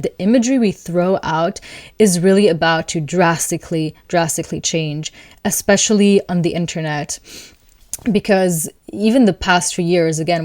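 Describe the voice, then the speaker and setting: Calm woman with a lowish voice musing to camera, dry close sound, soft laughs here and there. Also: no room sound. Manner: calm, thoughtful, laughs softly between thoughts. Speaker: astrology video host. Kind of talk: casual spoken reflection to camera.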